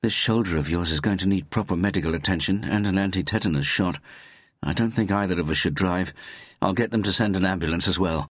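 A man's voice: low-pitched, fast, a bit bright, slightly rough, no echo, clean studio sound, voice pushed up. A man voicing a feisty, indignant English wife in an audiobook, with snappy, proper, emphatic delivery. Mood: brisk, caring. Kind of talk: audiobook character voice.

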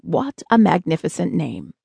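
Woman voicing a slow, dignified spaceman leader. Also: on the low side, very animated, creaky, dark tone, slightly rough, no room sound, heavily acted. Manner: slow, formal, polite. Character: dignified, kindly, proud spaceman leader, formal and unhurried.

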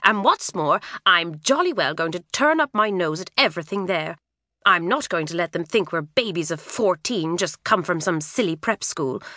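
A woman's voice: fairly low pitch, animated, normal speed, bright, forceful tone, no echo, studio quality. A woman voicing a sulky, hot-tempered teenage schoolgirl with a petulant, pleading manner.

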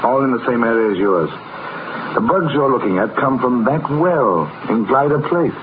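An actor playing a cynical, hard-drinking small-town doctor with a blunt, sardonic manner in a 1940s radio drama. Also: male voice, medium pitch, animated, moderate pace, natural voice. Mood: bitter. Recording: noisy, muffled 1940s radio recording.

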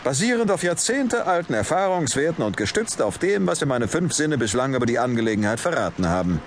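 Cynical, hot-tempered police detective. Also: male voice, medium pitch, very animated, fairly quick, slight background noise. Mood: weary, reasoning. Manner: sarcastic, biting, emphatic. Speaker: actor in audio drama. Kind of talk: German crime audio drama acting.

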